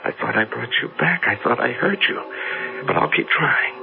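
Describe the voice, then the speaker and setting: An American actor playing an obsessed, grieving middle-aged businessman in a radio drama, halting and repeating his words. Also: medium pitch, animated, vocal fry, music in the background. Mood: anguished, pleading. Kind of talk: 1970s radio drama acting. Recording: dull vintage radio recording.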